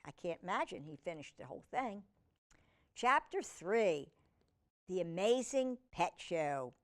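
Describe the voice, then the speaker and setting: A woman reads a picture book aloud for children in a very animated, swooping voice. Her pace is slow and deliberate, and she drops in chatty comments and questions as she goes. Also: mid-range voice. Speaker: host of a children's read-aloud storytime. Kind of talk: reading a picture book aloud with casual asides to young viewers.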